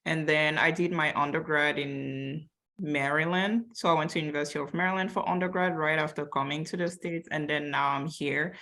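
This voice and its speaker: A woman from Cameroon in her twenties with a low-pitched voice moderates a webinar. She reads out audience questions and chimes in chattily with her own experience as a medical student. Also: vocal fry, fairly bright tone. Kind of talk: reading questions from chat.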